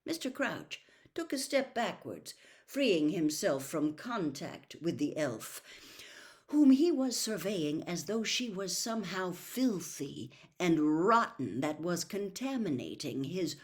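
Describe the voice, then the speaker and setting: A woman narrating fiction aloud. Her voice is a little low for a woman, with a very slow, measured pace and animated intonation that gives the storybook prose shape. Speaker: audiobook narrator. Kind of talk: expressive scripted storybook narration.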